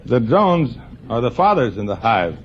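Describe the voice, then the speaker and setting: A man's voice: medium pitch, very animated, normal speed, highs cut off, slight background noise. A man answering a quiz host as a contestant, plainly, briefly and a bit hesitantly, on an old radio broadcast.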